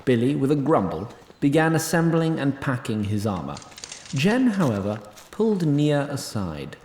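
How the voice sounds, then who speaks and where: A roomy-sounding actor as a male storyteller narrates a fantasy audio drama. He is formal, precise and dryly pedantic, with pitch that swings widely for emphasis. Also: sing-song, normal speed, darkish tone, slight background noise, close to an everyday voice. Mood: wry, formal. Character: wry, pedantic, faintly exasperated storyteller of a fantasy tale.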